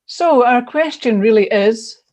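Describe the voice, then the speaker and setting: Woman with raised pitch reading scripted devotional. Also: expressive, measured pace, darkish tone. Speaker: church devotional reader.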